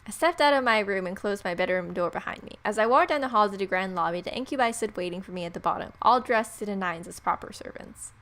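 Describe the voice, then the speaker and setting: A woman's voice: medium pitch, lively intonation, fast, hardly any pauses, a bit bright. A woman reading a visual novel aloud as scripted narration, with casual asides. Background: faint music.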